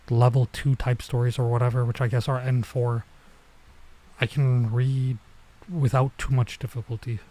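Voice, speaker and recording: Male streamer, mellow mid-range voice, casual game commentary, halting think-aloud delivery with bits of Japanese. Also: mid-range voice. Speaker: streamer, let's player. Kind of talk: casual let's-play commentary, reading game dialogue aloud.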